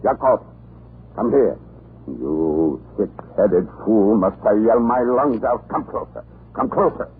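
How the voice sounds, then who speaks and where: An actor playing a cruel, scheming prison-island commandant in an old radio drama, gravelly, deliberate and menacing. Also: male voice, lively intonation. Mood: domineering anger. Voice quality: very deep, gravelly. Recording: muffled, noisy old radio recording.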